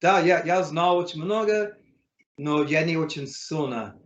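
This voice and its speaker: An American man, a native English speaker, speaking learner's Russian in a casual interview, halting with many fillers and self-corrections. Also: fairly high pitch, roomy sound, muffled recording. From United States. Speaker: interview guest, English teacher.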